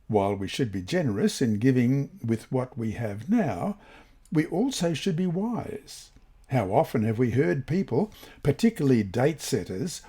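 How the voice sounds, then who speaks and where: Male, scripture lesson reader. Soft-toned and somewhat raspy, with expressive pitch movement. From Australia. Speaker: volunteer reader of Bible study lessons. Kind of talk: scripted religious lesson reading.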